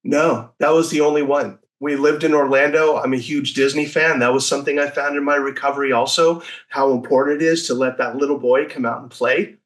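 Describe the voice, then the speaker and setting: A man's voice: mid-range voice, steady tone, brisk, hardly any pauses. A man speaking as an interview guest in an enthusiastic, conversational storytelling way, now and then acting out short quotes.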